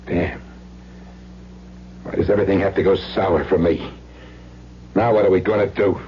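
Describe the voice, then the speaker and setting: An actor playing a menacing, desperate gangster ex-husband who bullies in tough, threatening slang in an old-time radio drama. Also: male voice, deep voice, steady tone, moderate pace, lightly acted. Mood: hard, threatening. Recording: muffled, noisy old radio recording with hum.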